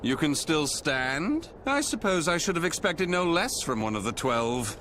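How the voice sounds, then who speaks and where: Game villain: high, wildly animated scholar, grandiose, florid, condescending. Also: male voice, a little slow, noisy background. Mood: condescending menace. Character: flamboyant mad scholar villain, theatrical, grandiose, falsely affectionate. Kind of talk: video game cutscene acting.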